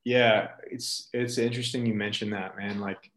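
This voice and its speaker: A man's voice: on the low side, flat delivery, normal speed, fairly bright tone. A man speaking as a nonprofit leader and interview guest, telling stories in an earnest, informal, conversational way. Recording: roomy echo.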